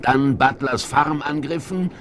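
A man's voice: unhurried, fairly bright tone, close to an everyday voice. An actor playing a stern, dryly ironic western frontiersman hero in a 1970s radio play. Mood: cool, threatening. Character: commanding, coolly mocking western frontiersman hero. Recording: noisy vinyl radio play, vehicle rumble.

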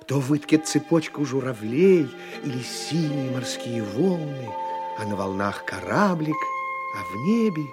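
An actor narrating a fairy tale as a lyrical, admiring storyteller. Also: male voice, medium pitch, measured pace, music in the background, lightly acted. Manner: lyrical, expressive.

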